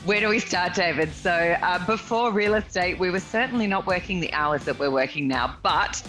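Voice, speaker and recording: Bright-voiced Australian woman. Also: fairly low pitch, moderate pace, faint music behind. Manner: lively storyteller, laughs often. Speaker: guest. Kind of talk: casual interview chat.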